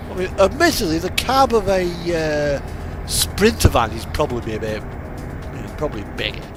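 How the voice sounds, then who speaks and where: British guy with a highish lively voice, chatty rambling while gaming, music and engine going behind him. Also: moderate pace. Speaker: gaming streamer. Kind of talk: casual rambling chat while playing a truck driving simulator. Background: music, vehicle engine sounds.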